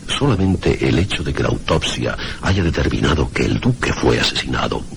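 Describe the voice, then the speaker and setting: Very deep, gravelly investigator. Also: male voice, expressive, moderate pace, background noise, exaggerated voice. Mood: stern. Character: stern, formal male investigator questioning a witness. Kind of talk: Spanish radio drama acting.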